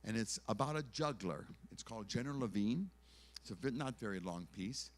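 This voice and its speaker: A man's voice: on the low side, animated, fairly quick. An older man, a concert pianist, giving an earnest, pleading testimony at a church concert and repeating his phrases. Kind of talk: evangelistic testimony from a stage.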